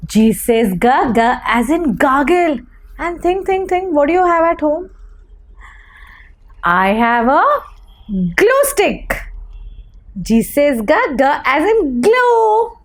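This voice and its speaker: A woman teaches small children in a very high voice that swings widely in pitch. She speaks extremely slowly, repeating words and putting questions to her young viewers. Her delivery is encouraging and sing-song, like a preschool phonics teacher.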